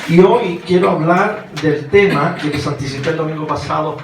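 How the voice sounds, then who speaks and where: Chilean preacher in a roomy, distant recording. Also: male voice, fairly high pitch, moderate pace. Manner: rhetorical questions to the listeners. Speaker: pastor preaching to a congregation. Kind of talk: sermon. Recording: roomy, distant mic, faint noise.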